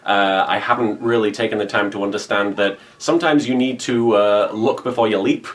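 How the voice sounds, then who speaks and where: Male video creator, fairly low pitch, informal vlog chat to followers, given to joking asides. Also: brisk. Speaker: online video creator and streamer. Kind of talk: casual vlog channel update.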